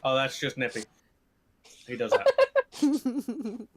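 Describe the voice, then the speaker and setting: A man playing a tabletop game on a live stream, teasing and quick to laugh. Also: extremely high pitch, huge pitch swings. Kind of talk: joking tabletop game banter. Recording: faint background noise.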